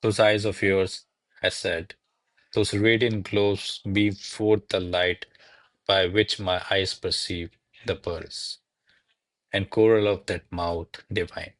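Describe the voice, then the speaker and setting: A man's voice: low voice, steady tone, takes it slowly. A man playing a courtly, ardent servant in a classical radio play, speaking in a formal, florid, deferential manner. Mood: courtly wooing. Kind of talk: stage-style radio play acting of classical verse.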